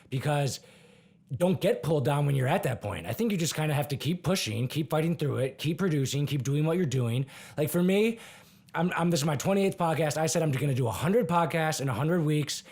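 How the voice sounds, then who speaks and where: A man with a raspy voice hosting a podcast, chatting casually and chiming in with short agreements. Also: on the high side, darkish tone. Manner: agreeable, chimes in with short affirmations. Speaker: podcast host. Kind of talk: casual interview chat.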